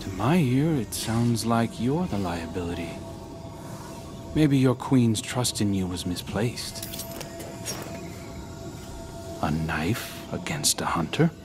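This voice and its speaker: An actor playing a bitter, defiant young outcast guardian in a video game, speaking with indignation. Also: male voice, lowish voice, very animated, measured pace. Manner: indignant, confrontational. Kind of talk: dramatic video game acting. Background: faint music.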